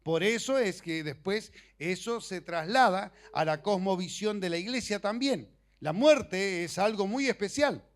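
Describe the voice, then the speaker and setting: A middle-aged male preacher with a notably high-pitched voice, a native speaker of Portuguese. He delivers an informal sermon built on personal stories, talking conversationally and haltingly, with joking asides. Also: animated. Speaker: preacher and missionary.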